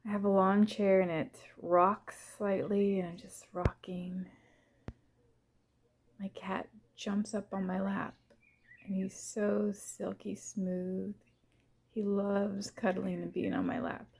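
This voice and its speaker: A woman gently leading a guided visualization in the first person, soft and unhurried, pausing between short phrases. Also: medium pitch, level tone, gravelly, husky.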